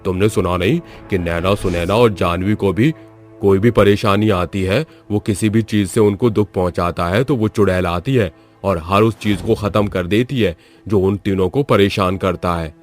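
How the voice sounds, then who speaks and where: Horror-story villager, male actor: lower register, earnest, determined, explanatory, music underneath. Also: moderate pace, lightly acted.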